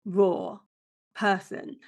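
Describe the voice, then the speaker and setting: A woman guest with a croaky, chesty voice, speaking bluntly and plainly in a casual interview. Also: mid-range voice, very slow, a little mellow. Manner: blunt, down-to-earth. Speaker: guest, passive income strategist.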